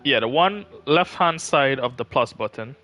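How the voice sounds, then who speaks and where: A man's voice: medium pitch, expressive, normal speed, sharp tone, faint music behind. A man chatting casually during a game livestream, guiding a friend as a fellow gamer.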